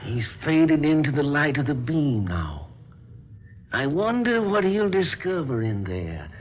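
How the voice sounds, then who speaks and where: Animated, earnest radio-play doctor. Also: male voice, a little high, unhurried. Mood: astonished. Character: questioning male doctor, earnest, astonished colleague. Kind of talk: old-time radio drama acting. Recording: dull lo-fi old radio recording, music.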